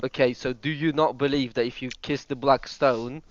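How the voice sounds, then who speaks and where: A man from Russia presses his points with polite insistence, often repeating words. His voice is mid-pitched. The voice chat audio is dull. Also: steady tone, normal speed. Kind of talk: online debate.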